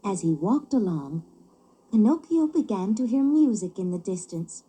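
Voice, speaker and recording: A woman narrating a children's story as a book on tape, expressive and clearly paced. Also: mid-range voice, moderate pace, a little hiss or hum, rough recording.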